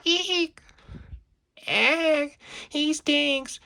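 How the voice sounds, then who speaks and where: A man voicing a cheeky, excitable small-town child with squeaky, folksy exclamations. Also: very slow, vocal fry, exaggerated voice. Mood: cheeky, excited.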